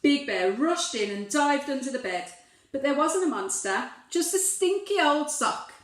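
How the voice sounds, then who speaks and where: A woman reading a storybook expressively to young children, pausing to put gentle questions to the listeners. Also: sits high, animated, reverberant.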